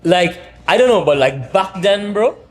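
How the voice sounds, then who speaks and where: A man's voice: sits high, moderate pace. Easygoing Trinidadian man in his thirties. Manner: relaxed, rambling with restarts and laughs. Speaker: barbershop owner, guest. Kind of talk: casual interview chat.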